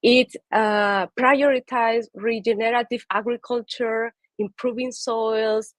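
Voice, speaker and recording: A woman's voice: a little high, dry recording. Peruvian woman giving an earnest conservation talk. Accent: Peruvian Spanish. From Peru. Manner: earnest, with frequent fillers and restarts. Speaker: conservation speaker. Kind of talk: prepared talk.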